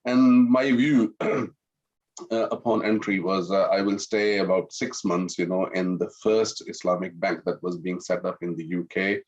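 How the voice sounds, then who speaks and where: A man's voice: low-pitched, steady tone, measured pace, darkish tone, husky, dull audio. A middle-aged man from Pakistan and France speaking courteously and conversationally as a webinar guest, often adding small asides. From Pakistan-born, grew up in France. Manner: courteous, with frequent "you know" asides. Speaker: webinar guest, Islamic finance professional. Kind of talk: conversational personal introduction in a webinar.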